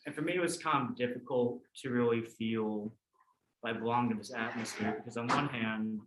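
A man's voice: mid-range voice, fairly even intonation, moderate pace. An American man in his twenties, a student guest telling his personal story in a reflective way. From Oklahoma, United States. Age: 20s. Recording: roomy echo, audible background noise.

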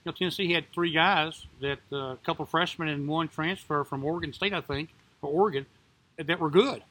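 A man talking sports casually with friends, excitable and chatty. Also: fairly high pitch, fairly quick, low-quality recording. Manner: excitable, loud impressions.

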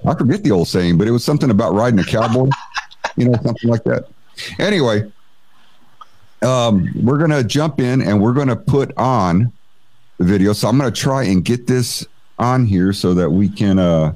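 Brief: male stream host, slightly low voice, loose and chatty delivery, casual home setup. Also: animated, normal speed, long pauses, a little mellow. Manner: rambling and chatty, trails off. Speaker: livestream host. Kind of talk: casual livestream chat.

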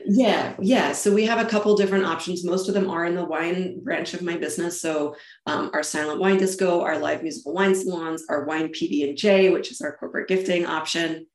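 A woman's voice: lowish voice, fairly even intonation, fairly quick, roomy sound. A woman from Germany, a founder talking through her event business in an interview, explaining with enthusiasm and frequent restarts. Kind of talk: conversational interview answers.

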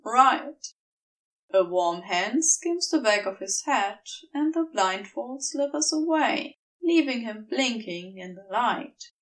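A woman narrates fiction with a fairly high voice and very expressive, swinging intonation. She takes her time over the phrases in a gentle storytelling manner, recorded slightly away from the mic. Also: slow, hardly any pauses, far from the mic, poor audio. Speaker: podfic narrator.

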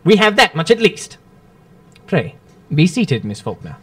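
An actor playing a shrewd, commanding and courteous gentleman detective in a radio drama, with formal, precise, theatrical delivery. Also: fairly high pitch, very animated, a little slow, exaggerated voice. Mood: suave, probing.

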